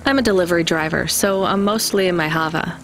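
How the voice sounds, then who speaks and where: A woman's voice: on the low side, fast, lightly acted. A woman playing a weary, guarded but caring young female delivery driver in a video game, with a dry, informal manner. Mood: guarded, curious.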